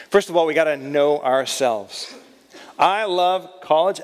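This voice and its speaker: A man in his thirties giving a chapel talk to college students, conversational and self-deprecating. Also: medium pitch. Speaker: chapel speaker. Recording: long reverb of a hall.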